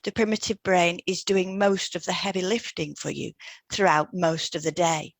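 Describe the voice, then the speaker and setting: A woman with a slightly low voice reads a scripted podcast discussion. Her delivery is measured and explanatory, like someone teaching listeners step by step. The sound is dry, with hardly any room in it. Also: fairly even intonation, gravelly, no room sound. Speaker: podcast co-host, hypnotherapist.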